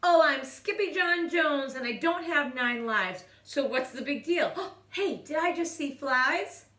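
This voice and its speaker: A woman voicing an excitable, boastful young boy kitten in a theatrical, sing-song rhyming storybook manner. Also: sits high, a little slow, roomy sound, voice pushed up, full character voice. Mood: gleeful, cheeky.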